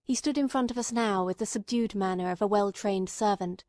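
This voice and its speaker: A woman's voice: mid-range voice, brisk, darkish tone, no room sound. A woman reading scripted literary narration as an audiobook narrator.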